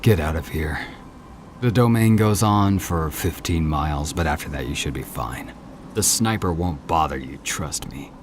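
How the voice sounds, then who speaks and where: A man's voice: very deep voice, normal speed, background noise. A man playing a taunting, swaggering hunter god with a drawl in an audio drama. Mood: grim menace. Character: cocky, taunting male hunter god, menacing and mocking.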